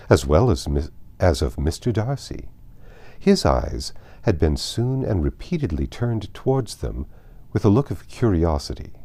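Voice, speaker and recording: A man narrating a classic novel aloud as an audiobook, telling the story in measured phrases. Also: sits low, warm tone.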